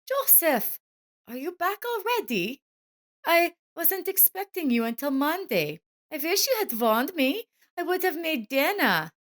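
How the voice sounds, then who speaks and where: A woman voicing an unfaithful, sharp-tongued wife with an emphatic, confrontational manner in a narrated story. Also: high voice, big pitch swings, a little slow, pitched above the performer's own voice, full character voice. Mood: feigned surprise.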